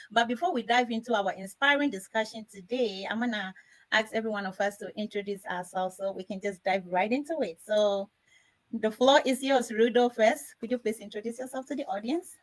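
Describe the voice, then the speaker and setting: A woman from Ghana speaks in a fairly high voice as the host of a live panel discussion. Her way of talking is effusive and full of gratitude. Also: normal speed, rough recording. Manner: effusive, repeatedly thanking.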